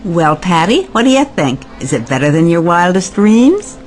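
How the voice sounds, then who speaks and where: A woman playing a brisk, pushy and cynical event boss in an audio drama, breezy and commanding. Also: fairly low pitch, very animated, faint music behind. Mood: breezy, proud.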